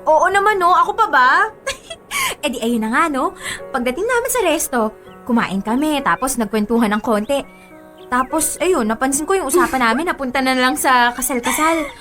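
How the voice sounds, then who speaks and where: A woman's voice: high-pitched, expressive, moderate pace, a bit bright, faint music behind. An actress with a Filipino accent playing a giddy, girlish young bride-to-be in a radio drama, gushing to her friend. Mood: happy, gushing.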